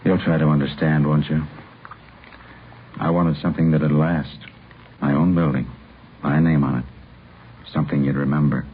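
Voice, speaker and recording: An actor playing a resigned, obsessed doctor who confesses in a halting, brooding way, in 1950s radio drama style. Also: male voice, a bass voice, flat delivery. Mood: wistful pleading. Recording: muffled, hissy old radio recording.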